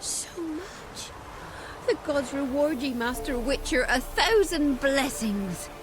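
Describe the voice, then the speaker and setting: A woman's voice: sits high, lively intonation, slow pace, background noise. A woman playing a careworn, blunt old peasant grandmother in a video game. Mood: grateful. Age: older. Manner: rustic, scolding, blunt. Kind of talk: video game cutscene acting.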